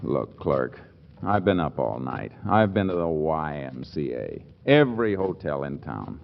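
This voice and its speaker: A man's voice: rumbling low, big pitch swings, unhurried, creaky, highs cut off, heavily acted. A man acting in a 1940s radio comedy as a flustered, sarcastic, jealous husband, on an old recording. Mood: weary, exasperated. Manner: wry, blustering, nervous chuckling.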